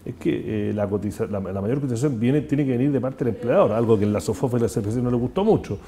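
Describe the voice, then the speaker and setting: A man with a Chilean accent hosting a talk-show conversation, talking fast with an opinionated tone and many restarts. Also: mid-range voice, lively intonation, dark tone. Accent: Chilean Spanish. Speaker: host.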